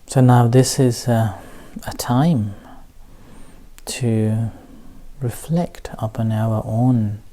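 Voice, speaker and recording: Slow, mellow male meditation teacher. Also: mid-range voice, fairly even intonation. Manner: halting, measured phrasing with long pauses. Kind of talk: guided meditation talk.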